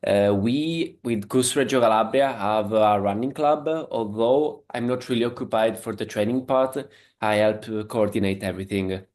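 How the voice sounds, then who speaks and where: A man's voice: on the low side, moderate pace, darkish tone. A man, introducing himself with frequent fillers. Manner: hesitant, many fillers.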